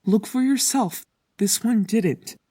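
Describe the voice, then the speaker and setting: A woman's voice: medium pitch, slow, mellow, breathy edge, no room sound. A woman voicing a weary, sardonic predator animal, cautious and dry, in a dramatic comic dub. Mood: wary. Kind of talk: dramatic comic fandub acting.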